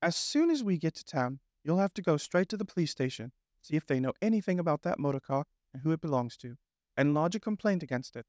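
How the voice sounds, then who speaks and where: A man voicing a practical male water rat who speaks firmly and briskly. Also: on the high side, very animated, a little mellow, no room sound, studio quality, voice pushed up. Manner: firm, brisk, exasperated.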